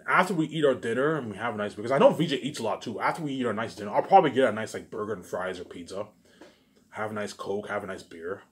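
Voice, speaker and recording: A man's voice: lowish voice, fast talker. A man in a casual, rambling monologue, boastful and repetitive, with emphatic bursts that trail off into muttered tags. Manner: repetitive, keeps restating phrases and tagging sentences with catchphrases; boastful, self-aggrandizing.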